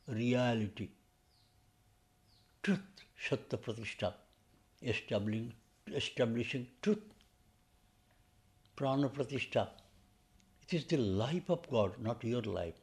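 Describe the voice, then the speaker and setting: A man leading a guided meditation in repetitive, incantatory phrases that swell and fall in loudness. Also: mid-range voice, gravelly. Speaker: meditation teacher.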